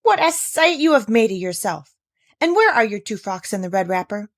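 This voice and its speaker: A woman's voice: mid-range voice, big pitch swings, normal speed, pitched above the performer's own voice, natural voice. A woman voicing an exasperated, indignant aunt who scolds emphatically in a storybook read-aloud. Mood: shrieking, scolding. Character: scolding, exasperated young aunt in a children's classic.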